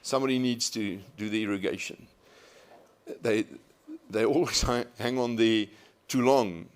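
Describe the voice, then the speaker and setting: A man speaking as a conference panelist, telling an account with emphatic, deliberate repetition. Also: fairly low pitch. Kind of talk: panel discussion, anecdotal.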